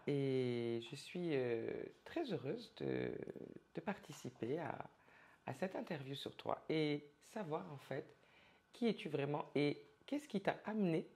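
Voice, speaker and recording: A woman's voice: sits low, darkish tone. A woman interviewing a guest, hesitant with many fillers, encouraging.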